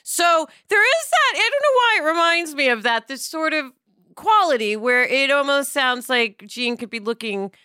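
A woman's voice: high voice, normal speed, dry recording, studio quality. A middle-aged woman co-hosting a podcast, chatting casually and expressively. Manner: chatty, expressive, says "man" often. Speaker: podcast co-host. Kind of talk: casual chat.

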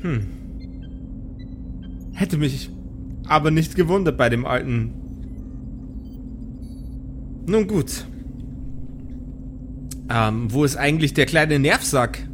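A man's voice: a little high, animated, slow pace, long pauses, background noise. A man playing a spaceship's computer, formal and hesitant with a teasing streak. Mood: dry. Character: spaceship computer, polite but snarky and teasing.

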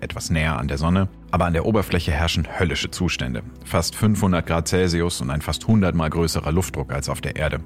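A man hosting a science podcast, giving scripted explanatory narration. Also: deep voice. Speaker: host and science presenter.

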